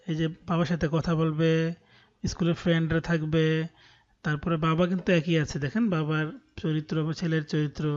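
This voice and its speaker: A muffled, lo-fi recording of a Bangladeshi man teaching online. His voice is high and he talks fast, explaining each step of a tutorial and checking that listeners follow. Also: darkish tone. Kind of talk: screen-recorded tutorial walkthrough.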